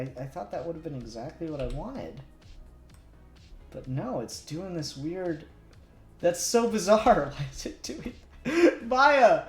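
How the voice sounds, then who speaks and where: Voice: medium pitch, vocal fry. A livestreamer chatting casually and muttering to themselves while working, with the odd swear word. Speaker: livestreamer doing 3D modelling. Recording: roomy echo with music behind.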